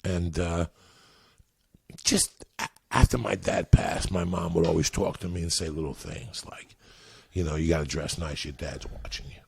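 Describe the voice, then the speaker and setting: A man's voice: low voice, gravelly, slightly rough, no echo. A man in his fifties, born in Cuba and raised around New York, telling stories in a profane, streetwise way while a little stoned. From Cuba, raised in New York and New Jersey. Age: 50s. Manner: profane, streetwise, rapid storytelling. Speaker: guest comedian. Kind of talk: storytelling.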